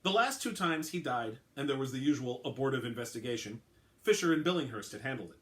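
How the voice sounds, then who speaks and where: An author reading his own fiction aloud in a relaxed, unhurried manner. His voice sits at a middle pitch for a man, and he drops the odd filler as he introduces the story. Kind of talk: dramatic reading.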